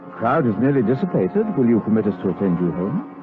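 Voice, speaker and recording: A man's voice: mid-range voice, big pitch swings, fast talker, lightly acted. A man playing a suave, proud aristocratic cavalier in a gothic audio drama. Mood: suave, gallant. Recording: muffled radio-like sound with music behind.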